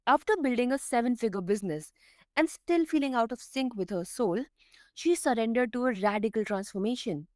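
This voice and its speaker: A woman's voice: on the high side, animated, fairly quick, no room sound, studio quality. A woman hosting a podcast, reading a polished introduction and asking interview questions in an upbeat way. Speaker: podcast host.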